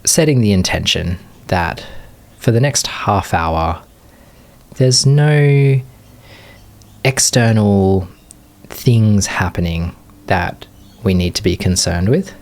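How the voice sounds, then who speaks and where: Male meditation teacher, low register, very slow delivery, short phrases separated by long pauses. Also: animated, darkish tone. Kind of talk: guided meditation, unscripted gentle instruction.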